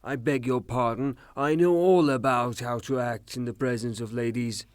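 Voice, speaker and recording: A man's voice: medium pitch, fairly even intonation, normal speed, clean tone, no echo, studio quality, natural voice. A man acting in a theatrical audio drama as a furious, rude creditor given to blustering, sarcastic tirades. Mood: mock-polite, sarcastic. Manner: blustering, declamatory, sarcastic, long rhetorical tirades. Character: furious, rude creditor, a ranting misogynist gentleman.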